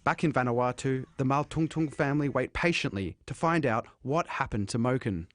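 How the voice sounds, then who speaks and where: A man voices a scripted news report. His pitch is medium for a man and he reads as a reporter. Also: normal speed, no room sound.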